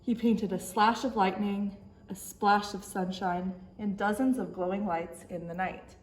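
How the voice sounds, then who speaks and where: A woman narrates a children's picture book with expression. Her pitch sits in the middle range, and the room around her voice echoes. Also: steady tone, a little slow. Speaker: story time reader. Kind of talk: expressive children's storybook reading.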